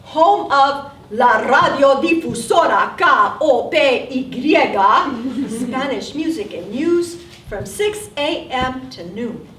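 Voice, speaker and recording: Older woman, high voice, slow unhurried delivery, wry storytelling, roomy theater sound from afar. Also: distant mic. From South Texas, USA. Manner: wry, animated storytelling with acted asides. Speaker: solo performer. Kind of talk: storytelling stage monologue. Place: theater stage.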